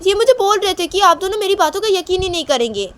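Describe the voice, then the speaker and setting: A woman's voice: very high-pitched, lively intonation, fast, fairly bright tone, pitched above the performer's own voice. A woman voicing a pleading young girl who protests insistently and whines in a story. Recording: clear background noise.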